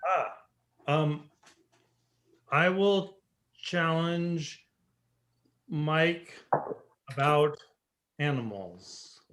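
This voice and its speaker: A man's voice: fairly high pitch, extremely slow, pauses often, fairly bright tone. A man playing as a game show contestant, giving casual answers.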